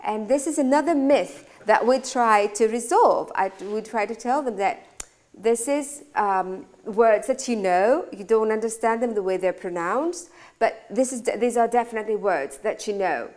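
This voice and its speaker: A woman's voice: fairly high pitch, moderate pace, darkish tone. A woman from Greece, speaking English with a Greek accent, who runs a school and answers interview questions in a searching way, restarting her sentences often. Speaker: school director. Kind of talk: conversational talk interview.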